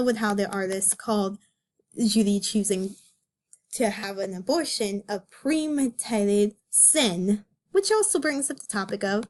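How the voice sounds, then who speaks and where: A woman giving casual, opinionated commentary in a slangy, exasperated way as an amateur reader. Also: mid-range voice.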